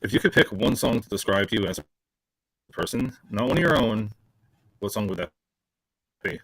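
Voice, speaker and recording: A man's voice: lowish voice, expressive, normal speed, a bit bright, poor audio. A man hosts a music podcast and puts brief, direct questions to his guest. His delivery is plain and conversational. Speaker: podcast host. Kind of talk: interview questions.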